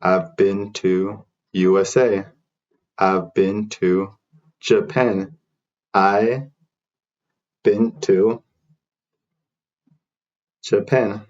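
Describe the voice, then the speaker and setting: A man teaching beginner English, repeating short phrases slowly for learners to copy. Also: fairly low pitch, flat delivery, darkish tone, dull audio. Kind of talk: slow repeat-after-me drill.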